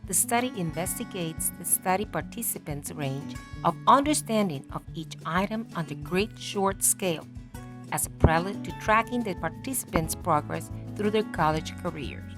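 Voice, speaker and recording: A woman's voice: on the low side, expressive, moderate pace. A woman reading a scripted research narration in a formal, academic manner. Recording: music behind the voice. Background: music.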